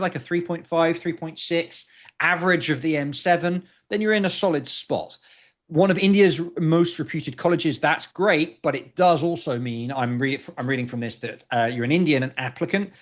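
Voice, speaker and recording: A man hosting a casual chat show, giving brisk, direct, point-by-point evaluations. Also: on the high side. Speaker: host, admissions consultant. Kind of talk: casual podcast chat, reading and critiquing posts.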